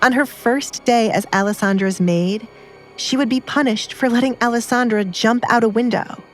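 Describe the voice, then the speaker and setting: A woman's voice: on the high side, normal speed, faint music behind. A woman narrating an audiobook drama in a scripted storytelling style.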